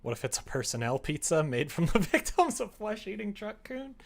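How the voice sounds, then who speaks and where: A man streaming as he writes a visual novel, chatting casually and thinking out loud as he goes. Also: sits high, sing-song, gravelly. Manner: thinks aloud, reads typed prose slowly and haltingly. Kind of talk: casual livestream chat, murmuring draft lines while writing.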